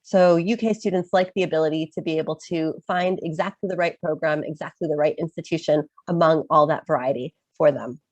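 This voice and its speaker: Woman, American Chicago accent, lowish voice, dry close sound, getting over a cold, webinar presenter, ums. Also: fairly even intonation, fairly quick, few pauses, darkish tone, no room sound, highs cut off. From Chicago, USA. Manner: informative, with frequent um fillers. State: recovering from a cold. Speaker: study-abroad advisor, webinar presenter.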